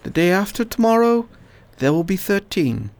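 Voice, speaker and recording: A woman voicing a weary, Oxford-educated man fighting a plague, speaking low and wry in a radio drama. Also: very animated, normal speed, darkish tone. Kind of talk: amateur radio drama acting.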